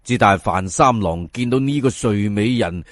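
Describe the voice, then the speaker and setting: A man's voice: fairly low pitch, dry recording, studio quality. A man with a Hong Kong Cantonese accent telling a folk tale in a colloquial, dramatic way, as a storyteller does. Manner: colloquial, dramatic, drawn-out sentence particles. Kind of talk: animated folk tale narration.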